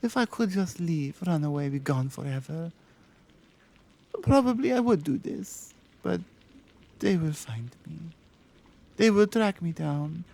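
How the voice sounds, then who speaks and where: A woman voicing a devoted, quietly dangerous Slavic mafia boss in intimate ASMR roleplay, with a Slavic accent and halting, murmured phrasing. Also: low voice, creaky, exaggerated voice. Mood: grave, resolute.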